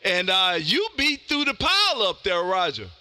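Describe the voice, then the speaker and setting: American male radio host, high-pitched and exuberant, slangy delivery, very lively intonation. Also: normal speed, heavy background noise. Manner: exuberant, loud, animated, slangy. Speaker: amateur radio operator hosting a live stream. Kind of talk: casual ham radio chat. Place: home radio room.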